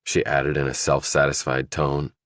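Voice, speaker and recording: A man's voice: extremely low pitch, completely flat delivery, normal speed, fairly bright tone, dry recording, clean studio sound. A man reading scripted thriller narration as an audiobook narrator.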